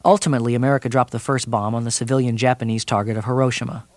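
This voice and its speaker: A man delivering a scripted broadcast review of a TV movie in a measured, dryly wry way. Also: mid-range voice, fairly even intonation, dry recording. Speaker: TV critic.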